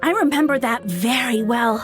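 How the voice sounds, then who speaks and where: A woman's voice: a little high, moderate pace, lightly acted. A woman playing a dreamy, easily flustered, lovestruck young flower seller in a video game, breathless and dramatic. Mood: wistful. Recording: background music under the voice.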